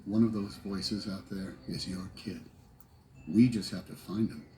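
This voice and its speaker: An actor voicing an earnest, loyal cowboy doll who speaks in a pleading, heartfelt way. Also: male voice, sits low, fairly even intonation, unhurried, darkish tone, soft background music.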